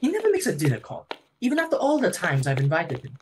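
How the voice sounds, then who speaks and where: A woman's voice: on the low side, wildly swooping pitch, fast talker, background noise. A woman playing a snobbish, domineering society mother in an amateur reading of a stage comedy, haughty and easily flustered. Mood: picky, dismissive.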